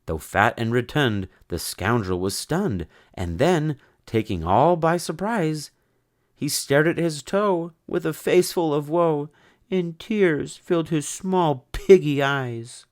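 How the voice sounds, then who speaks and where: A man narrating a rhyming children's story in an expressive, theatrical way. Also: fairly high pitch, takes it slowly. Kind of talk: dramatic rhyming children's story read-aloud.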